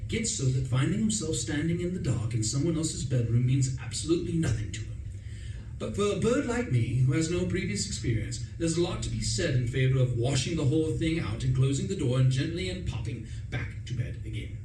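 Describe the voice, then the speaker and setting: A man's voice: fairly high pitch, expressive, brisk, dark tone, natural voice. Guy playing a chatty foppish young english gent, self-important radio play storyteller, bit far from the mic, noisy recording. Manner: chatty, pompous storytelling with old-fashioned slang. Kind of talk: narrated radio comedy performed on stage. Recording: echoey room, distant mic, noisy.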